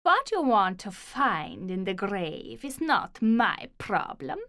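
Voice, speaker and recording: A woman voicing a hoarse, croaking old woman with a spooky, menacing manner. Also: medium pitch, very animated, bright, forceful tone, full character voice. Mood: ominous. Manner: slow, drawn-out, ominous.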